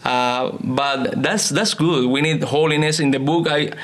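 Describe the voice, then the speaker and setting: A man speaks halting as a pastor and author in a conversational interview. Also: mid-range voice, steady tone. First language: Spanish. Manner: halting non-native English with hesitations and repetitions. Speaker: pastor and author, podcast guest. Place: small indoor podcast studio. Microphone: close studio microphone on table stand.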